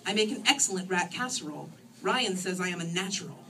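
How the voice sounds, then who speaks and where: Woman reading as a stilted, deadpan monster girl. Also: on the low side, fast, slight background noise, voice pushed down. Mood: earnest, pleased. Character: formal, literal-minded female monster, politely bloodthirsty. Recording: distant microphone in a room.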